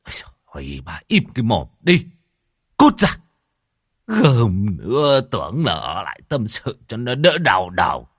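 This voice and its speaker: Man doing a gruff, rude old man. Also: low voice, big pitch swings, moderate pace, pauses often, dry recording, clean studio sound, full character voice. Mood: irritated. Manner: gruff, dismissive, cursing. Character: rude short-tempered old opium-smoking man.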